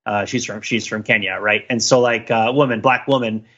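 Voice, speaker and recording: A man's voice: lowish voice, fairly even intonation, brisk, a bit bright, dull audio. A man co-hosting a podcast, talking casually and analytically in a discursive way, thinking aloud with many asides. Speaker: podcast co-host.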